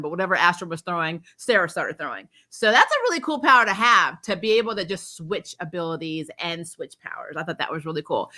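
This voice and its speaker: A woman's voice: medium pitch, sharp tone. A woman hosting a casual, chatty TV recap livestream in an informal, giggly, rambling way, often correcting herself. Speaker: host of a TV show recap livestream. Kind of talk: casual chatty episode recap with acted-out quotes.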